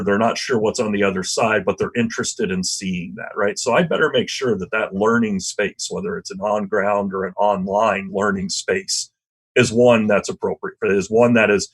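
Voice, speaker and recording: Man, low husky voice, loud, thoughtful, professor in interview. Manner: loud voice, thoughtful with frequent "you know" and "right?". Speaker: history professor, podcast guest. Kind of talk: reflective conversational interview. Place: podcast interview, remote or studio.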